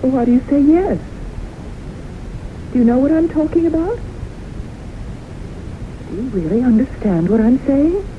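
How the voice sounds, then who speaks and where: An actress playing a haunted, earnest woman in a 1970s radio drama, speaking with halting, deliberate intensity. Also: sits high, expressive. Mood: agitated. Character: haunted, earnest woman convinced death pursues her. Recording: noisy, muffled 1970s radio broadcast recording.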